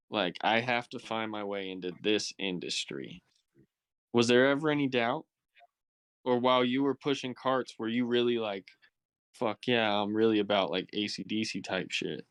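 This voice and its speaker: A man's voice: fairly low pitch, no echo. A man hosting a podcast in a casual, laid-back way, with slangy phrasing and free swearing. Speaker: podcast host. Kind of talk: casual chat, slangy interviewing.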